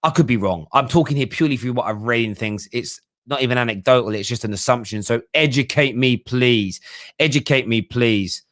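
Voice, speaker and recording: Emphatic, bright-voiced football host. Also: male voice, mid-range voice, a little fast. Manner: blunt, emphatic, repeats himself, rhetorical questions. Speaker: host of a football fan livestream. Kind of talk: casual live fan talk, reading out viewer comments.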